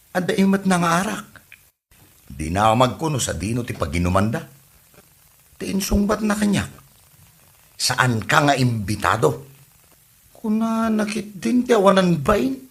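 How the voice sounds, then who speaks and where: An aggrieved, complaining Ilocano freeloader played by a man in a comedy audio drama. His fairly high voice swings widely and crackles with creak as he sulks. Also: big pitch swings, unhurried, pauses often. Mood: indignant. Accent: Filipino, Ilocano. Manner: complaining, sulky. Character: aggrieved, whiny freeloading husband who loves drink.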